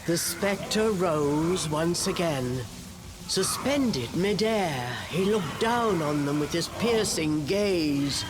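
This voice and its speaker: A man narrating a storybook in a measured, expressive way. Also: on the high side, noisy background.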